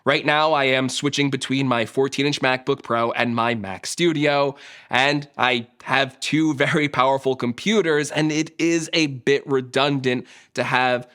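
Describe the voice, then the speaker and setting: A young man, a tech YouTuber, chatting to camera in a casual, self-mocking way, his voice a little hoarse from a sore throat. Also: mid-range voice, fairly even intonation, unhurried, fairly bright tone.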